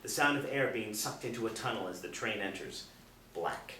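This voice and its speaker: A man narrating stage directions at a screenplay table read in measured, dramatic storytelling phrases. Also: lowish voice, bright, forceful tone. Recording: echoey room, distant, faint noise.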